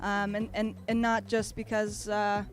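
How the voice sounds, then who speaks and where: Woman bodysurfer from Australia, mid-pitched, laid-back rambling answers, loud music behind. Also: unhurried. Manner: relaxed, rambling, many fillers. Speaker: competing bodysurfer. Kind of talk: casual interview. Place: outdoor beach event.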